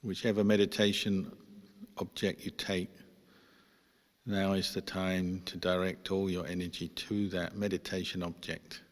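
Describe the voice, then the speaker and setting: Deep, even-toned man guiding meditation in reverb. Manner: measured, pausing between short phrases. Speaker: Buddhist monk leading guided meditation. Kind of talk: guided meditation instruction. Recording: long hall-like reverb.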